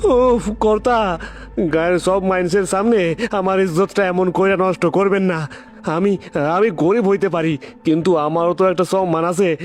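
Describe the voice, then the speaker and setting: A man's voice: high voice, steady tone, moderate pace, lightly acted. A man playing a poor, humble fisherman in a cartoon story, pleading plaintively in a rural East Bengali dialect, with music behind. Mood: wounded dignity. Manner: pleading, plaintive, stammering repeats. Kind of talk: dramatic cartoon story acting. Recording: background music under the voice.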